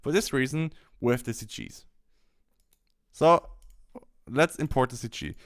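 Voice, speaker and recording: A man presenting a software tutorial, explaining step by step in a halting instructional walkthrough. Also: mid-range voice. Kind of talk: instructional screen-capture walkthrough.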